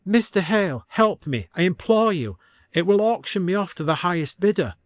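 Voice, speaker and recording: A man voicing a prim, old-fashioned Victorian gentleman who pleads formally and anxiously in a story reading. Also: sits high, expressive, normal speed, no echo, clean studio sound, voice pushed up. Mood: panicked pleading.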